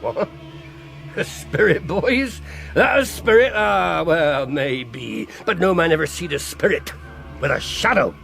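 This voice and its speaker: Crafty old pirate boss, gravelly, voice swoops around a lot, radio play. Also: male voice, a little high, animated, slow pace, fairly bright tone, faint music behind, heavily acted. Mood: rallying. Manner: rolling rustic pirate speech, wheedling then commanding. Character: cunning, cajoling one-legged pirate ringleader, older man. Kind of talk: radio drama acting.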